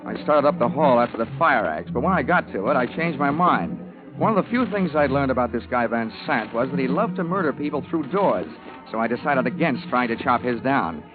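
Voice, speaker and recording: An American actor playing a tough, wisecracking insurance investigator in a 1950s radio drama, wry and clipped. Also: male voice, mid-range voice, expressive, fairly quick, music in the background, natural voice. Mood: wry narration. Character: wisecracking, hard-boiled male insurance investigator. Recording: tinny vintage radio broadcast recording.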